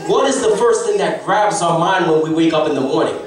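A man with a Haitian accent preaches to a congregation, his voice sitting a little high and pressing with rising emphasis. He is caught from far off in a very echoey sanctuary, with the congregation audibly answering around him. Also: animated, fairly quick, crowd noise. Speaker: guest preacher. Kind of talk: sermon. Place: church sanctuary, mid-size, indoors. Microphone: handheld mic, far camera. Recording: echoey church heard from far off. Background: congregation responding.

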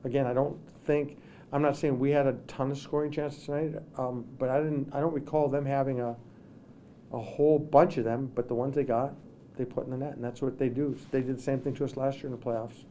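A middle-aged male hockey coach speaks into table microphones at a postgame press conference. His voice is mellow and darkish, with a faint hum behind it. He talks in halting stretches, restarting sentences and leaning on "you know.". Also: mid-range voice, little pitch movement. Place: press room with sponsor backdrop. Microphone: table microphones in front.

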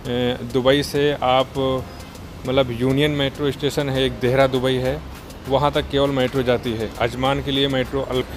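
A young man from India narrating a walking tour to his phone camera, explaining step by step and talking straight to viewers, outdoors in a busy city and on a bus. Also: medium pitch. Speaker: travel vlogger. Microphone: handheld phone camera. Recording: street and traffic noise behind.

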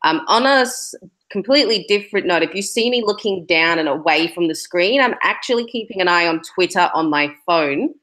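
A young woman moderating a live online panel on a video call from a room at home, brisk and friendly. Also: fairly low pitch, animated, a bit bright. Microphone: laptop webcam on a video call.

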